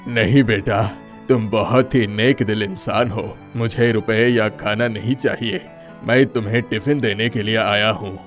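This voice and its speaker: A man playing a kindly, mysterious old beggar holy man in a moral story cartoon, speaking gently and solemnly. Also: on the low side, fairly even intonation, measured pace, soft background music, natural voice. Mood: benevolent, solemn. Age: older.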